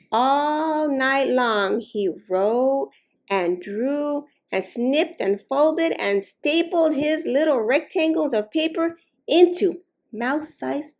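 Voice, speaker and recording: Slow, higher-pitched woman reading a storybook. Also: a little mellow. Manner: slow, deliberate, dramatic emphasis on key words. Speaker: storytime reader. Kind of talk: expressive children's storybook read-aloud.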